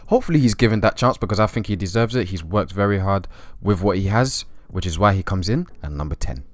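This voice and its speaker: Low-voiced young man ranking football managers. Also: expressive, fast talker, no room sound. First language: English. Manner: opinionated, chatty asides. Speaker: football video host. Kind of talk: scripted countdown commentary.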